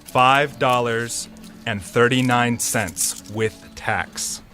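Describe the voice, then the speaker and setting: A gruff hardware store clerk in a radio drama. He has a forceful, cutting voice and a sardonic, grudging, folksy way of talking. Faint music sits behind him. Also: mid-range voice, fairly even intonation, extremely slow. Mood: sardonic irritation.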